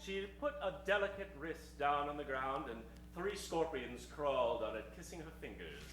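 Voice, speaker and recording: A man's voice: a little high, lively intonation, moderate pace, fairly bright tone, slight background noise. A man narrating and announcing an improvised old-time radio show with a dramatic delivery. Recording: echoey stage, music behind.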